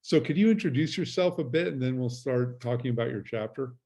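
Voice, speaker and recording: A man's voice: mid-range voice, a little fast, mellow. A man hosting a virtual book launch over a video call, talking in a casual, friendly way.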